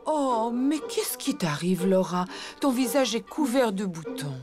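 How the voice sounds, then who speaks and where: A proud cartoon elephant mother with a highish, very animated voice. Her delivery is theatrical and motherly, over loud music. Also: measured pace. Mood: concerned, then stern. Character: cheerful, proud elephant mother, keen amateur cook. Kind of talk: children's cartoon dubbing.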